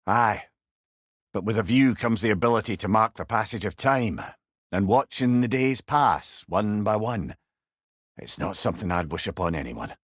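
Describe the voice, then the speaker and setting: A man's voice: on the low side, expressive, normal speed, no room sound, clean studio sound. A man playing a wise, wry old advisor in a video game, a chatty and learned storyteller with a Scottish accent. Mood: grave, reflective. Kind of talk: video game character dialogue.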